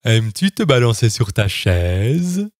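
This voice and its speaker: A man's voice: mid-range voice, big pitch swings, a little slow, dry recording, studio quality. A man voicing a fond, easygoing father bear in a children's storybook. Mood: playful. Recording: loud music bed under the voice.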